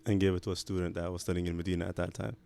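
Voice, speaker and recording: A man in his thirties from Canada talks with a very deep voice that has a rough, gravelly edge. He answers as a podcast guest in easy, halting conversation. He often restarts his sentences and drops in short Arabic religious phrases. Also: level tone, a little mellow. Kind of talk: casual conversational interview.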